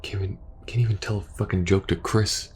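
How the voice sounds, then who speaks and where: A man playing a war-weary, protective soldier in an intimate ASMR audio roleplay, speaking earnestly and hesitantly, sometimes hushed. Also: lowish voice, fairly even intonation, brisk, slight background noise, lightly acted. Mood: frustrated, weary. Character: war-weary young male soldier pleading with best friend, protective, earnest.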